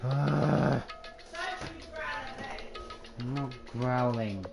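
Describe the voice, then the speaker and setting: A woman's voice: a bass voice, fairly even intonation, extremely slow, creaky. A woman streamer reading game dialogue aloud and bantering with friends, exasperated and swearing often. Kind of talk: casual livestream game read-along. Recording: game music playing behind.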